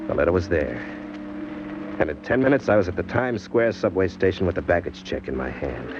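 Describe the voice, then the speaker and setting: Hard-boiled crook, American, deep and terse, cold and wary, old muffled radio noir sound. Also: male voice, animated, brisk, background noise. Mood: cold, grim. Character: hard-boiled tough male crook narrating his own crime, cold, suspicious. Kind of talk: 1940s radio noir acting, first-person narration. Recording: muffled old radio recording, loud music behind.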